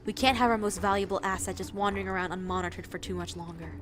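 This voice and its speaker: A woman's voice: mid-range voice, steady tone, quick pace, music in the background, close to an everyday voice. Woman acting a cold, bossy conspirator boss. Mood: stern, controlled. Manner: scolding, commanding, condescending. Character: cold, bossy female conspirator commanding two bungling underlings. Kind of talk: dramatic voice acting in audio drama.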